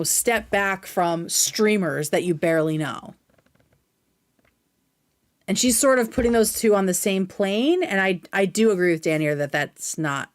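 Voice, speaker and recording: A woman hosting a livestream, giving casual, conversational reaction commentary with animated emphasis. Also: medium pitch.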